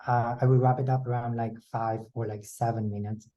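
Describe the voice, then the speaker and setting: A man's voice: mid-range voice, flat delivery, highs cut off. Nervous man. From Vietnam. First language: Vietnamese. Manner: nervous, with halting non-native phrasing. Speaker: educator, fellowship presenter. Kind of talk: online presentation. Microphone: video call.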